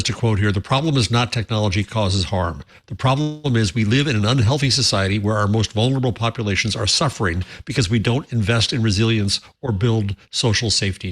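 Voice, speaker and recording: A man's voice: mid-range voice, fairly bright tone. Earnest male podcast co-host. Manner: earnest, sometimes halting, with many restarts. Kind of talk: casual tech talk show chat.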